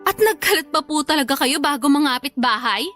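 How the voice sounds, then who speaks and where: High-voiced, aggrieved young Filipina daughter. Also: female voice, lively intonation, a little fast, fairly bright tone, faint music behind. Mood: exasperated, reproachful. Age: young adult. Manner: polite but defiant, pleading. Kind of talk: radio drama acting.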